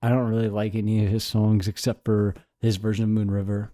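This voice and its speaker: Deadpan, mock-boastful young man. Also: on the low side, fairly quick. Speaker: podcast co-host. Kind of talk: casual chat.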